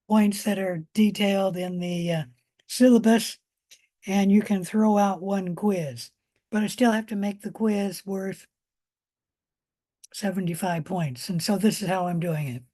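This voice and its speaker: A woman with a hoarse voice giving an informal biology lecture, explaining step by step with pauses and repetition. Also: mid-range voice, fairly even intonation, dark tone.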